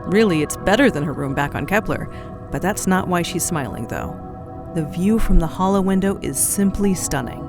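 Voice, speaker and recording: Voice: mid-range voice, animated, normal speed, music in the background. A narrator reading a scripted audio drama in a descriptive storytelling manner.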